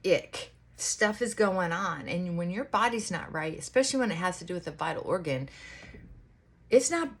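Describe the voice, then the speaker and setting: A woman vlogger talking in casual, rambling chit-chat, reflective and halting, often trailing off and circling back. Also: fairly low pitch, lively intonation, breathy edge.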